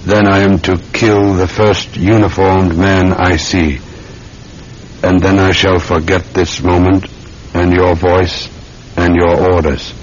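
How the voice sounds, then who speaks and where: Deep, slow English police sergeant. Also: male voice, flat delivery. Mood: entranced, obedient. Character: English police sergeant, an uncle, steady and compliant. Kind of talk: old-time radio drama acting. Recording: muffled, hissy old radio recording.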